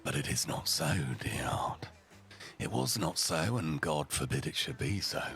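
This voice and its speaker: A man voicing a suave, sinister bridegroom in a storybook tale, with a gravelly voice. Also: rumbling low, expressive, unhurried, a bit bright, soft background music, exaggerated voice. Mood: strained, whispering.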